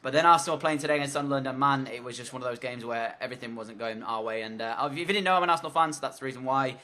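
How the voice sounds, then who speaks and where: A man talking through his football video game match as voice-over, hoarse from losing his voice, rambling in a chatty play-by-play with frequent fillers. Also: medium pitch, racing, bright, forceful tone. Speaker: gaming commentator.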